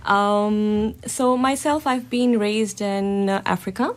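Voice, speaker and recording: A woman's voice: medium pitch, steady tone, slow pace. A woman raised in Libya, a radio guest talking casually about herself with frequent ums. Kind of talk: casual interview chat.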